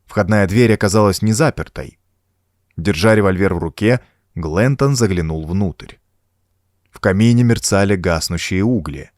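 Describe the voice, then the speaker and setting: A man narrating a horror story as an audiobook, reading the scripted prose in a suspenseful, dramatic and expressive storytelling manner. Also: deep voice, normal speed, no echo, clean studio sound.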